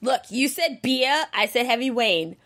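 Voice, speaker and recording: A woman in casual podcast banter who teases the others by feigning confusion. Her voice sits fairly high. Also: lively intonation, a little slow, fairly bright tone. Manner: quizzical, teasing, mock confusion.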